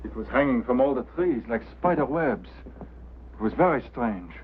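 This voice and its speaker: An actor playing an excitable French working man with a French accent in a 1950s drama, on a tinny vintage soundtrack. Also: medium pitch, normal speed, slight background noise, lightly acted. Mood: puzzled. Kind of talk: 1950s television drama acting.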